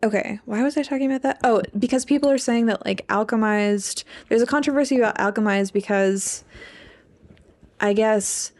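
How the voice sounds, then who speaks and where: A woman's voice: fairly high pitch, normal speed, a little mellow. A young adult woman co-hosting a podcast in casual chat, rambling and peppering her talk with "like". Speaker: podcast co-host, English PhD student.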